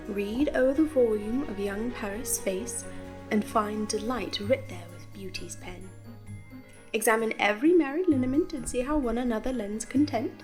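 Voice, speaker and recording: A woman's voice: on the high side, lightly acted. An actress playing a composed, commanding noblewoman mother, speaking formal, persuasive verse in a Shakespearean audio play.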